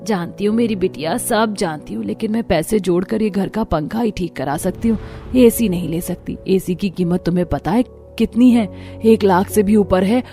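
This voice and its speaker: A woman's voice: medium pitch, normal speed, mellow, soft background music, natural voice. A woman voicing a worried, poor village mother of five daughters in a cartoon story, weary and pleading.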